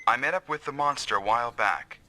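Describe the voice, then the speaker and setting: A man's voice: mid-range voice, fairly quick, background noise, natural voice. A man voicing a big, self-confident backup squad mate in an anime-style video game dub. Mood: rueful. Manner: breezy, assured.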